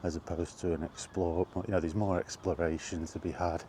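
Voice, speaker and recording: A middle-aged man giving a casual, rambling chat as he walks outdoors, in an unhurried, offhand manner. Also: low voice, level tone, dark tone. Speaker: video game vlogger. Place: outdoors, walking toward a park. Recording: outdoor walking recording with background noise.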